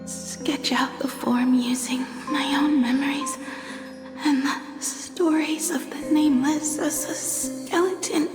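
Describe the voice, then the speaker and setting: A woman voicing a wistful, strong-willed young female painter in a video game, often in a soft, breathy murmur. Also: high-pitched, steady tone, slow, roomy sound, long reverb tail, music in the background. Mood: dreamy, hushed.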